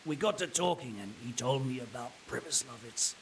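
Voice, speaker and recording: An actor playing a resigned, cynical captured mercenary in a video game drama, wry and matter-of-fact, with a gravelly voice. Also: male voice, medium pitch, expressive, a little fast, slight background noise, natural voice, low-quality recording. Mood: cynical, frank.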